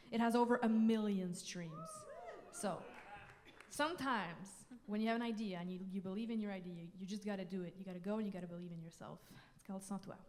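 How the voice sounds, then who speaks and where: Giggly french canadian woman, mid-pitched, chatting to the crowd between songs, live concert hall echo. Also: a little mellow. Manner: chatty, giggly, lots of fillers. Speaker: singer-songwriter. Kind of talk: onstage banter between songs. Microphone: stage vocal mic.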